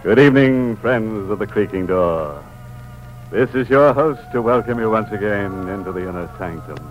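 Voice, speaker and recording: A man's voice: on the low side, lively intonation, moderate pace, lo-fi sound, lightly acted. A man playing a ghoulish horror show host. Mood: ghoulish glee. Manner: macabre punning, gleeful chuckling. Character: sinister joking male host of a horror show. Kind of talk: 1950s radio drama hosting. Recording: old radio broadcast with music.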